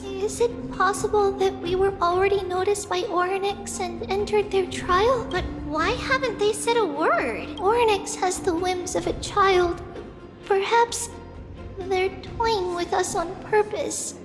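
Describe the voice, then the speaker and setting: A woman's voice: very high voice, slow pace. A woman voicing a playful, curious little girl with a small childlike voice in a video game. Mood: puzzled, pensive. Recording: long reverb, loud music behind.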